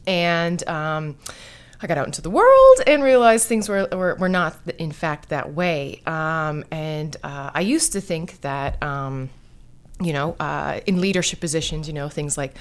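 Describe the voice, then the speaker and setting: A woman's voice: deep voice, expressive, unhurried, smooth. A woman guest on a panel talk show, speaking reflectively and stopping to find her words. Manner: reflective, many fillers and restarts. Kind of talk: casual conversation.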